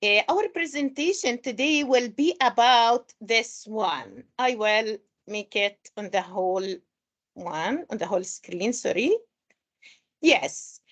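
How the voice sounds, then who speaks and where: A woman's voice: on the high side, expressive, takes it slowly, a bit bright. A woman from Palestine presenting an online teacher-training webinar, patiently greeting participants and repeating instructions.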